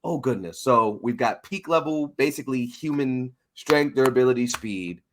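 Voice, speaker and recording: A man hosting a casual interview, talking in an animated, rambling, slangy way. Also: mid-range voice, normal speed, slight background noise. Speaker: interviewer and host of a comics breakdown show.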